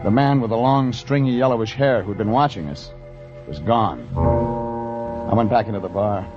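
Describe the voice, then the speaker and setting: A man's voice: lowish voice, moderate pace, over music, close to an everyday voice. A man playing a terse, dry, wisecracking private detective in an old-time radio drama. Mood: wry, narrating. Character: wry, hard-boiled male private detective narrator. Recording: muffled old radio playback in moving vehicle.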